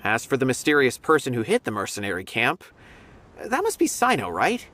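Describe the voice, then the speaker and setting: A man's voice: medium pitch, wildly swooping pitch, brisk, bright, forceful tone. A man playing an earnest, courteous law officer in a video game, politely telling his account over music. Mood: friendly. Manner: polite, storytelling. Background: background music.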